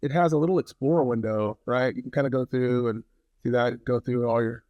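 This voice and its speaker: A man's voice: mid-range voice, steady tone, fairly quick, no echo, lo-fi sound. A man giving a casual, conversational hands-on product review, often repeating phrases for emphasis.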